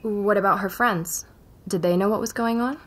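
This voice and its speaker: A woman's voice: mid-range voice, fairly bright tone, breathy edge. A persistent young woman journalist. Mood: curious. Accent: Spanish. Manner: probing questions, brisk narration. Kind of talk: audio drama acting.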